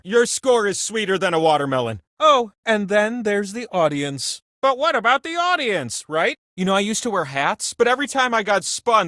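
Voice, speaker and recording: An enormous ancient wheel that hosts a comic video game as a jovial, self-important god. The voice is high for a male and swings widely in pitch, moving from grandiose gushing to chatty asides. It is recorded very dry and close. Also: sharp tone, no room sound, exaggerated voice. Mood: excited, admiring, then chatty, fond. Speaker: game host.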